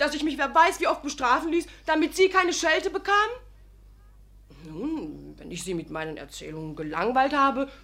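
An actress playing a chatty, saucy young serf maid in a German radio drama, gushing and rambling as she talks. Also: a little high. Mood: hurt, dramatic. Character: cheeky, chatty young serf maid, loyal and fun-loving.

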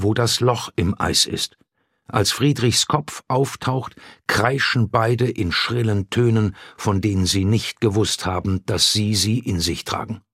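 A man reading a literary audiobook in a measured, grave and dramatic narrating style. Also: on the low side, breathy edge, dry recording, clean studio sound.

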